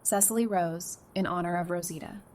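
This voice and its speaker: A woman's voice: lowish voice, brisk, darkish tone, close to an everyday voice. A woman acting an affectionate, teasing young mother among survivors in an audio drama, speaking casually and sincerely. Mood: playfully indignant.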